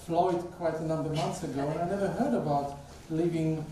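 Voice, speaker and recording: A man's voice: fairly high pitch, fairly quick, dark tone, recorded from a distance, a little hiss or hum. Polish-accented man preaching in an echoey church. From Poland. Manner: chatty and informal, asks the congregation questions. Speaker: guest speaker, theology professor. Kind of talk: conversational sermon. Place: church sanctuary. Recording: echoey church hall, picked up from afar.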